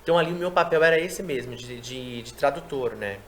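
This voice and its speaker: A man who works as a sign language interpreter, chatting in an interview and explaining his work in a lively way. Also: mid-range voice, a little hiss or hum. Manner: quick, explanatory, animated delivery. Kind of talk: casual interview chat.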